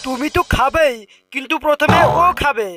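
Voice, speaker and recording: A high-voiced, bossy cartoon doctor played by a woman gives loud orders on a village round. The delivery is commanding and determined, over very loud music. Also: normal speed, natural voice. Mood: firm. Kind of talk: Bengali cartoon dialogue.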